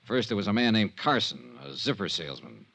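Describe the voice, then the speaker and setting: An actor playing a tough, sardonic American insurance investigator in a vintage radio drama, talking in breezy slang. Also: male voice, on the low side, sing-song, brisk, gravelly, fairly bright tone, full character voice. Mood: wry, recounting. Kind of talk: 1950s radio drama acting. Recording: dull vintage radio recording.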